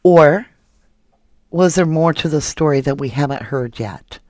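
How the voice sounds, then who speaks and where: A woman's voice: low-pitched, a little slow, darkish tone, no echo. Woman host, indignant true crime commentator, reads chat, dry close sound. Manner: indignant, questioning, rhetorical. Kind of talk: casual live commentary reading viewer comments.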